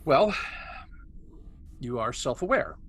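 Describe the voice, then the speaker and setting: A man's voice: medium pitch, very animated, slow pace, fairly bright tone, full character voice. A man reading the part of a stubborn, self-assured scientist giving curt testimony as a hostile witness in a table read. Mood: grudging. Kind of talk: amateur script table read. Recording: clear background noise, room ambience.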